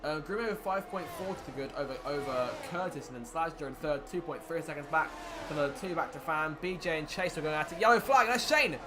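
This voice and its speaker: A man commentating a sim racing league, calling the action excitedly while his voice is wearing thin. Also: fairly high pitch, fast, noisy background. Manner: excited play-by-play, rising with the action. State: losing his voice. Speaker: lead commentator.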